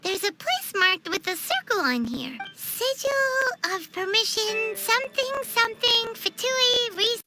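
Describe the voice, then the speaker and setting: An actress voicing a tiny, excitable, greedy fairy girl companion in a video game, squeaky and childlike in a bubbly way. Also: a little slow, hardly any pauses, over loud music, heavily acted. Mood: curious, searching. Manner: childlike, bubbly, speaks of herself in third person. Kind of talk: video game character dialogue.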